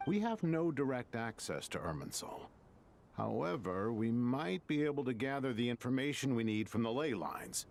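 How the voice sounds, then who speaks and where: An actor voicing a spry, competitive old scholar in a video game, theatrical and a little grumbling. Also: male voice, medium pitch, animated, normal speed, gravelly, a little mellow, slight background noise. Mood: scholarly. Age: older.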